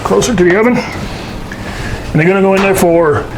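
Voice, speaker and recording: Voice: medium pitch, moderate pace, background noise. A folksy, jokey host casually talking through a baking demonstration to camera. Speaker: host of a paranormal show doing a baking segment.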